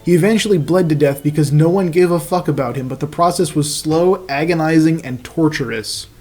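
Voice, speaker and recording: A man's voice: fairly high pitch, brisk, darkish tone. A man reading fan fiction aloud as host, in a comic narration with profane, mocking casual asides.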